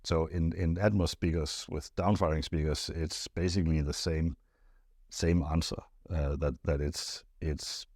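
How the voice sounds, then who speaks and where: A man with a deep, low voice talks through a technical subject like an expert answering questions. His delivery is casual and thoughtful, often pausing and repeating words as he works out the phrasing. The sound is close and dry, with very little room in it. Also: fairly even intonation, a little mellow, husky, no echo.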